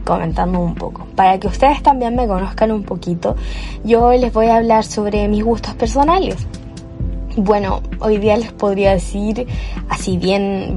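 A woman's voice: mid-range voice, creaky, warm tone. A cheerful young woman with a Chilean accent, talking off the cuff as host of her own podcast on a cheap home microphone, with fillers and restarts. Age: young adult. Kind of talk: improvised solo podcast monologue.

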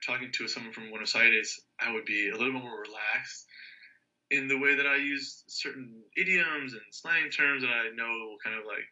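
A man's voice: medium pitch, normal speed, bright, forceful tone, muffled recording. A man chatting casually as a guest, thinking aloud and rambling with restarts. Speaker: language learner guest. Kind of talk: casual conversation.

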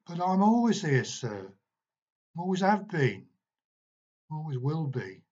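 A man voicing an eerie, unsettlingly calm boy who speaks in rough working-class slang. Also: a little high, slow pace, voice pushed up, full character voice. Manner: rough working-class speech, quiet and accusing. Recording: dull, muffled recording.

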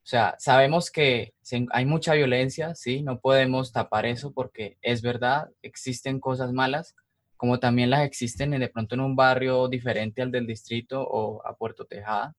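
A male podcast host with a Colombian accent from Cali. His voice sits at a middle pitch, and he speaks in an informal narrating style. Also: fairly even intonation, moderate pace.

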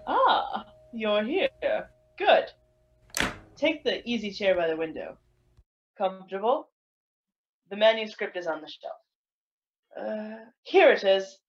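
A woman plays a mysterious librarian welcoming listeners into a radio crime tale. Her delivery is theatrical and inviting, with suspenseful pauses. The recording is slightly dull, with faint noise behind it. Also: mid-range voice, sing-song. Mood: eerily welcoming. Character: mysterious female librarian host of a crime story club. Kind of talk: old time radio theatre narration. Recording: muffled, noisy recording with clicks.

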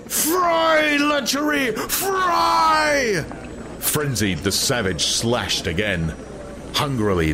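A man reading literary fiction as a scripted, dramatic audiobook narration, with noise behind him. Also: on the low side.

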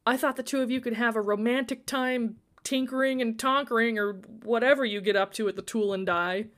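A woman's voice: fairly high pitch, a little fast, a bit bright, close to an everyday voice. Woman acting a shy girl, kindly, sincere, understated, audiobook character.

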